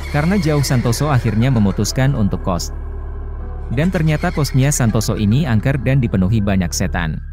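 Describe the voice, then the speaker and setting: A man narrates a spooky tale in a voice that swings up and down. He talks at a middle pitch, and music plays clearly behind him. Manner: lively, rising and falling storytelling. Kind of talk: storytelling horror narration.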